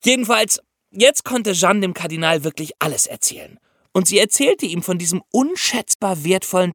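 A man hosting a podcast, telling history in a conversational, expressive storytelling style for young listeners. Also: sits high, no room sound, studio quality. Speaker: podcast host.

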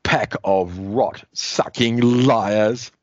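Guy doing a gloating villain warlord, low-ish voice, dull dry recording. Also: drawn-out words, no room sound. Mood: furious. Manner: gloating, menacing monologue. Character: villainous male alien-hating warlord.

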